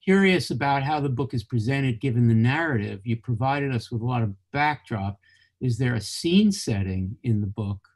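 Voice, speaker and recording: Halting middle-aged male interviewer. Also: medium pitch, lively intonation. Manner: halting, restarts, academic phrasing. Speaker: host and interviewer. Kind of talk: reading audience questions at a reading. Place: cluttered home office, indoors. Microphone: video call webcam.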